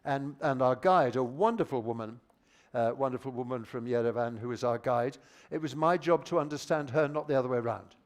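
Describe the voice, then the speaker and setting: An older man from Britain leading a plenary talk on language teaching, chatting with the audience through a handheld microphone, repeating their points with quick restarts. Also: mid-range voice. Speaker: plenary speaker on teaching methodology. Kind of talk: live conference talk with audience discussion.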